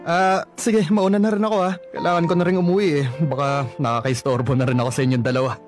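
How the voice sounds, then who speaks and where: An actor playing a lonely young man who still loves his ex, wistful and gently probing, in a radio drama. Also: a little high, flat delivery, fast, soft background music, close to an everyday voice.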